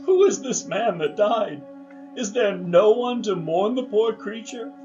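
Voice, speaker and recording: A man acting a frightened old miser pleading with a ghost, tremulous, halting and melodramatic, in a theatrical radio drama. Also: high voice, lively intonation, dark tone, highs cut off, faint music behind. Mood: anguished.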